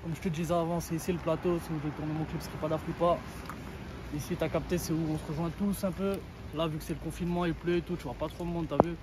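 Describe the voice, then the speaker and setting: Young rapper guy talking quick and slangy, kinda high voice, roomy sound from a bit off the mic. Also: steady tone, recorded from a distance. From Sri Lankan heritage, raised in France. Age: 20s. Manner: fast slangy talk, full of filler tags. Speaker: rapper interviewee. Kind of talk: casual street interview. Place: outdoors in a neighborhood. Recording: roomy, from off the mic, faint street noise.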